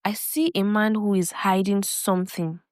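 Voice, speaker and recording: A woman's voice: mid-range voice, fairly even intonation, normal speed, darkish tone, clean tone, no room sound, studio quality, close to an everyday voice. A woman voicing a worried, protective brother with a grave, insistent manner. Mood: grave, urgent. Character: worried, protective brother pleading with his sister.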